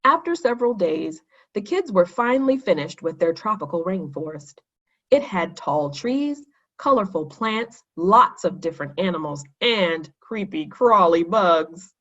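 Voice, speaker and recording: A woman narrating a children's story in an expressive read-aloud manner. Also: lowish voice, measured pace, muffled recording. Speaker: storybook reader.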